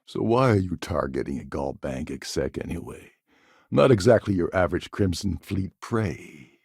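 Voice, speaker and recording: An actor playing a gruff, cynical, self-serving space pirate in a video game, with a gravelly voice and a wry, sardonic, world-weary manner. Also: male voice, low-pitched, expressive, moderate pace, a little mellow, no echo, clean studio sound, full character voice. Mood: wry, businesslike. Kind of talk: game character dialogue lines.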